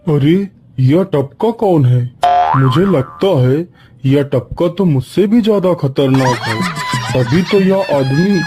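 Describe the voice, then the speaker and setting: A man's voice: on the high side, takes it slowly, noisy background. A man voicing a gullible, melodramatic lion who is easily terrified, in a children's cartoon story. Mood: alarmed. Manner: melodramatic, fretful.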